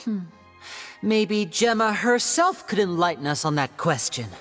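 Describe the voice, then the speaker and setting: A woman's voice: mid-range voice, lively intonation, natural voice. A woman playing a poised, teasing stage magician. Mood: accusing. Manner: measured, teasing, theatrical. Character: young female stage magician, poised and knowing. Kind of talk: video game voice acting. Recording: game audio with reverb and music.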